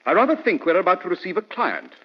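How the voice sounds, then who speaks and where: An actor playing a calm, self-assured English detective in vintage radio drama, with a British accent and precise, pedantic, unruffled delivery. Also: male voice, sits high, quick pace. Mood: calm, superior. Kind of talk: 1940s radio drama acting. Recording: muffled old radio broadcast.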